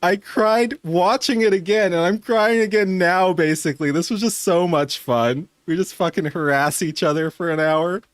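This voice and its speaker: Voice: medium pitch, moderate pace, hardly any pauses, no room sound. A podcaster chatting casually over a recording, amused, informal and sweary. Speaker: podcast guest commenting on a recording. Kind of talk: casual reaction chat.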